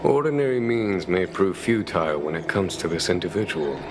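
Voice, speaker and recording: A low-voiced, courteous, commanding general. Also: male voice, lively intonation, noisy background. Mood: gracious, assured. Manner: measured, formal, diplomatic. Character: calm, courteous, shrewd male general commanding a starship's knights. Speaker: military leader. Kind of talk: scripted video game dialogue.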